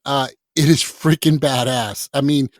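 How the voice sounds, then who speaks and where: A man hosting a casual film podcast, excitable, laughing often and swearing freely. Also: medium pitch, measured pace, no room sound, clean studio sound. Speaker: podcast host.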